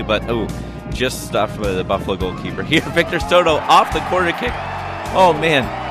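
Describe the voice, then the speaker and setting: A young man doing casual, lively voice-over commentary on soccer highlights for a student sports show. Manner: lively, casual, laughs at his own slips. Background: music.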